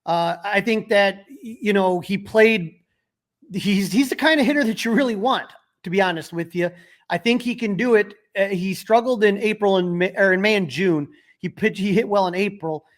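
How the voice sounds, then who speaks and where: A man's voice: high voice, moderate pace, dry recording, studio quality. A man co-hosting a sports podcast, giving opinionated, emphatic fan commentary in casual talk.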